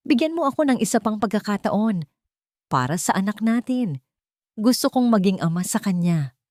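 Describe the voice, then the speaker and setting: A woman voicing a drunk, manipulative ex-boyfriend in an audio romance story, loud, desperate and demanding. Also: medium pitch, animated, moderate pace, no echo, clean studio sound, pitched above the performer's own voice, natural voice. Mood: fake pleading. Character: drunk, menacing, manipulative ex-boyfriend, begging then raging.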